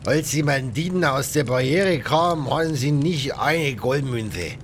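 A man's voice: medium pitch, animated, measured pace, a little hiss or hum, pitched above the performer's own voice, natural voice. Man as a raised-voice pirate yarn-spinner. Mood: confiding. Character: male pirate crewman telling tales by the campfire.

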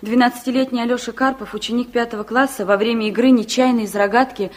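An actress playing a shy, tender young sweetheart, gentle and easily moved, in a 1960s Soviet radio play. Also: female voice, on the high side, fairly even intonation, fast, natural voice. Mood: reading aloud. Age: young adult.

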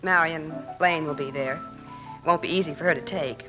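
A woman playing a quick, composed newspaper reporter in a 1940s radio drama, crisp and breezy. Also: low voice, over music, close to an everyday voice, rough recording.

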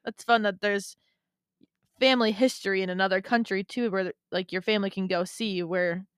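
Woman, interview host, casual, smooth clear voice, short affirming interjections, dry recording. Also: medium pitch, steady tone, normal speed, dry recording. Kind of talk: casual supportive interview chat.

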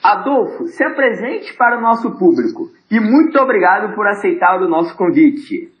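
A man's voice: high voice, very animated, moderate pace. A male podcast host who introduces the guest and hands the floor to a co-host in short remarks. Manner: brief, hosting. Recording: echoey, muffled room sound.

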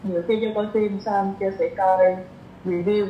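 A woman's voice: mid-range voice, little pitch movement, normal speed, dull audio. A woman with a mid-range voice shares opinions in a casual conversation as a guest. She explains things step by step and repeats phrases for emphasis. The sound is roomy, with faint noise behind her. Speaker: podcast guest. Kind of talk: casual chat, sharing opinions.

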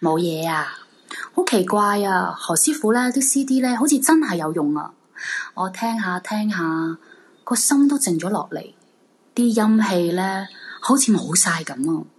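A woman's voice: mid-range voice, expressive, unhurried, breathy edge. A woman with a Hong Kong Cantonese accent playing a nervous, haunted wife in a radio drama. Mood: uneasy, softened. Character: nervous young married woman haunted by a ghost.

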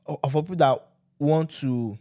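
A man chatting casually, halting and repeating words as he searches for what to say. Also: fairly high pitch, warm tone. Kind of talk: casual chat.

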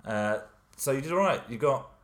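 A hungover man co-hosting a film podcast with dry, deadpan jokes. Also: mid-range voice, normal speed. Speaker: podcast co-host. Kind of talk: casual chat. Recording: echoey room with music underneath.

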